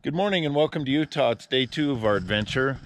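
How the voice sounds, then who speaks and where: A man's voice: mid-range voice, animated, fast, rough recording. A middle-aged man from California with an American accent, chatting casually to camera as a travel vlogger. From California, United States. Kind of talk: casual outdoor travel vlog, talking to camera and puppy. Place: outdoors in woods and desert, sometimes in a vehicle. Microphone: handheld action camera at arm's length.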